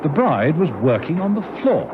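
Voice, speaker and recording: An actor as the dramatic narrator of a classic spy radio serial, reading scripted storytelling. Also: male voice, fairly high pitch, big pitch swings, normal speed, noisy background. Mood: suspenseful. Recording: dull lo-fi vintage radio recording. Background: music.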